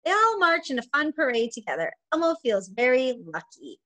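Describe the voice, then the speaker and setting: A woman in her forties, a preschool teacher, reading a picture book aloud to young children in an expressive, sing-song manner. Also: high voice, normal speed. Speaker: preschool teacher and story time host. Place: small indoor room at home.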